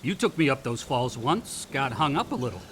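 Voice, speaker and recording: A man's voice: mid-range voice, sing-song, a little fast, a bit bright, slight background noise. A male actor playing a moody, self-deprecating young grad student son with wry delivery in a radio play. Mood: wry, nostalgic. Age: young adult. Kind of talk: naturalistic radio drama dialogue.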